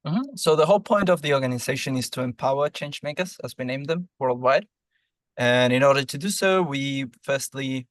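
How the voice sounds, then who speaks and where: Man from argentina, program lead, measured with ums, dry close recording, mid pitch. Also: no echo. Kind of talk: interview answers.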